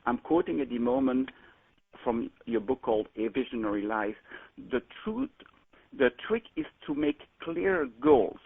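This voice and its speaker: A man with a French accent calling in to a teleconference, speaking earnestly and gratefully, a little halting. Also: medium pitch, big pitch swings, creaky, slightly rough, dry recording. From France. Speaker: caller. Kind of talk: phone-in conversation. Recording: phone line with faint noise.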